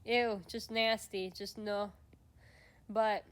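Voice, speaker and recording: A woman's voice: medium pitch, flat delivery, slow. A woman hosting a casual livestream chat, sniffly and stuffed up, rambling with lots of restarts and fillers. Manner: rambling, many restarts and fillers, laughs often. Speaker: streamer host.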